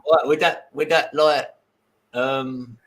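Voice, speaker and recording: A man's voice: mid-range voice, fairly even intonation, slow pace, a bit bright, low-quality recording. A man from London's East End with a Cockney accent, chatting casually in an easygoing, agreeable way and laughing often. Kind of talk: casual chat.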